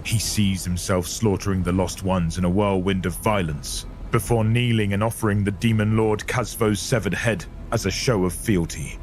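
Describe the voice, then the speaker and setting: A man's voice: lowish voice, fairly even intonation, moderate pace, few pauses, soft background music. A man giving dramatic scripted narration for an audio drama, grave, portentous and theatrical.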